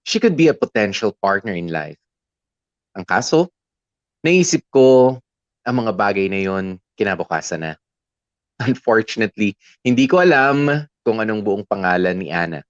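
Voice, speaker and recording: A dry, slightly dull recording carries a young man's low voice, an actor in the role. He narrates his romance in candid Taglish, conversational and smitten, like a personal confession in an audio drama. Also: lively intonation, normal speed, pauses often, dry recording, close to an everyday voice. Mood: reflective, smitten. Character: lovestruck young male letter writer recounting his romance.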